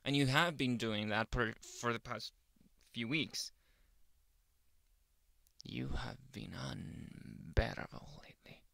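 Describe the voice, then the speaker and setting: A man playing a grumpy, possessive yandere boyfriend in an ASMR roleplay, brooding and theatrical, often dropping into breathy whispers close to the mic. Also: medium pitch, slow pace, pauses often, pushed and bright, no room sound, full character voice. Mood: accusing, angry, then strained, exasperated. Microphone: close ASMR microphone.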